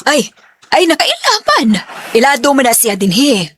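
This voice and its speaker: Older neighbour, Cebuano accent, highish swooping voice, helpful, some background noise, radio drama. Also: female voice, huge pitch swings, a little slow, fairly bright tone, exaggerated voice.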